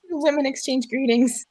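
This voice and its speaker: A woman's voice: sits high, dark tone, poor audio. A woman narrating stage directions in a live script table read.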